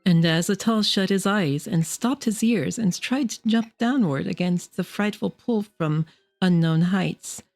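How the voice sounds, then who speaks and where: A woman narrating a horror story from a script in a measured, literary, dramatic way. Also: lowish voice, vocal fry, darkish tone. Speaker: audiobook narrator. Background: background music.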